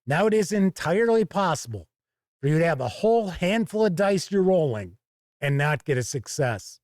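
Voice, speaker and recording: A man giving an explanatory game review, talking through rules in short, measured, emphatic phrases. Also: on the high side, very animated, a little mellow, dry recording, clean studio sound. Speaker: board game reviewer and host.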